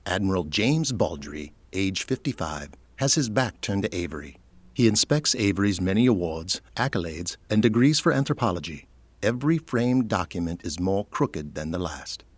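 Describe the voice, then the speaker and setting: A man's voice: low-pitched, sing-song, moderate pace, no echo. A man delivering scripted narration for an audio drama, setting each scene.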